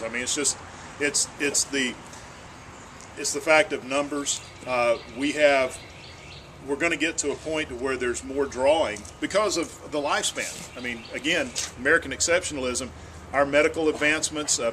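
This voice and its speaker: A man's voice: mid-range voice, background noise. A man, a state senator giving an earnest town hall speech and answering questions, often repeating words as he restarts.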